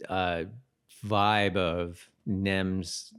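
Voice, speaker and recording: Male podcast co-host, fairly low pitch, informal recap chat, halting delivery with repeated phrases. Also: level tone, fairly bright tone, smooth. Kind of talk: casual chat recapping a TV episode.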